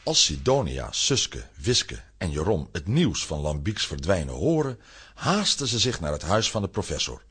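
A man with a husky voice narrating a scripted children's radio play as an animated storyteller. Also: low voice, normal speed.

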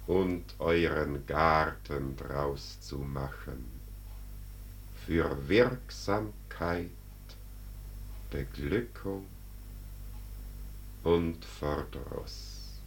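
Den Tod: majestätisch, sehr langsam, feierlich. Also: male voice, extremely low pitch, fairly bright tone, a little hiss or hum, heavily acted. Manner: solemn, declamatory, commanding, stately delivery. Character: Death as a solemn, majestic great spirit of the soul. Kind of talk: classic German radio drama, declaimed verse.

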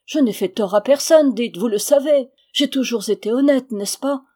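Woman playing an angry peasant husband, husky and harsh. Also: medium pitch, fairly quick, mellow, natural voice. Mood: pleading. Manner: harsh, imperious, insistent. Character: wronged, hot-tempered, stubborn peasant husband. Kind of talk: dramatic audiobook character reading.

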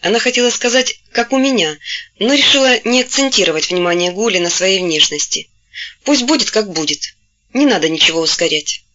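Bright-voiced woman reading a novel aloud. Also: mid-range voice, expressive, brisk, highs cut off. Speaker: audiobook narrator. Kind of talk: scripted literary narration.

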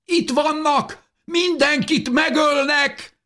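A man's voice: very high-pitched, fairly even intonation, bright, forceful tone, pitched above the performer's own voice. A man voicing a panicked young boy in an audiobook drama, crying out and pleading in a thin, strained voice. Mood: terrified. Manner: pleading, drawn-out cries.

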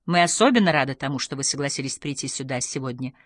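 A woman's voice: a bass voice, lively intonation, quick pace, no room sound. A woman voicing a formal, eager male TV talk-show host in an audiobook, with emphatic, polished delivery. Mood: eager, solemn.

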